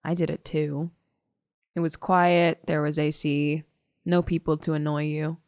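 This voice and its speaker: A blunt teenage loner girl, sardonic, American accent, lowish pitch, dry close sound, audio play. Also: little pitch movement, measured pace, a little mellow, smooth, no room sound. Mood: wry, defensive. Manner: blunt, sarcastic, casual. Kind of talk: audio drama testimony, naturalistic.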